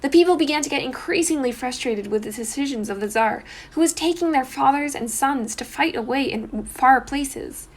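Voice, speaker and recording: A young woman reading scripted educational narration as a student presenter. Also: a little high.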